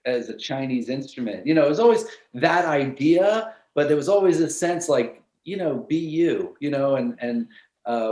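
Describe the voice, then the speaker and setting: A man with a mid-pitched voice talks in a noticeably echoey room. He is a guest percussionist in an informal discussion, rambling with enthusiasm and rephrasing as he goes. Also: a little mellow. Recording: roomy, echoey sound.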